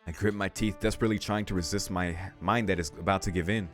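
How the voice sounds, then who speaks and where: A man's voice: deep voice, fairly even intonation, fast, fairly bright tone, faint music behind. A man reading visual novel narration aloud dramatically as a let's play host.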